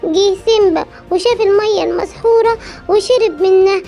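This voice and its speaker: A woman's voice: extremely high pitch, fairly quick, mellow, faint music behind. An Egyptian woman voicing a worried mother hen who pleads for help in a cartoon. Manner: anxious, pleading.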